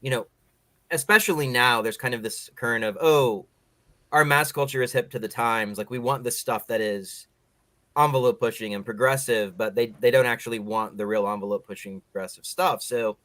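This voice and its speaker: A man's voice: mid-range voice, normal speed, fairly bright tone, no room sound. A man hosting an interview show, asking long, opinionated questions in a conversational way.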